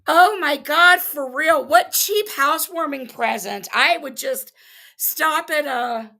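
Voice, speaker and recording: A hoarse woman speaks slowly and bluntly, her high voice bright but gravelly and worn. She delivers sarcastic commentary over a livestream. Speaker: reaction commentator.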